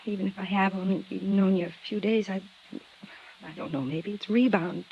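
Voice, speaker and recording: An old, muffled radio recording with some noise carries an actress as a soft young American woman in love. She is lonely and clinging, speaking in a breathless, pleading, melodramatic 1950s radio-serial manner. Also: mid-range voice, fairly even intonation, moderate pace, lightly acted. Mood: pleading, emotional. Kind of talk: 1950s radio drama acting.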